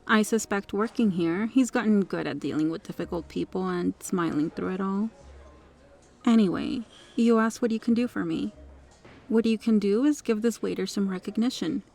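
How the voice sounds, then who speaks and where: A woman's voice: medium pitch, normal speed, creaky, darkish tone. A woman playing a kind, protective restaurant regular in an ASMR roleplay, speaking in a polite, articulate, reassuring way. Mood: firm, earnest. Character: kind, well-spoken female restaurant regular, firmly protective. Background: music.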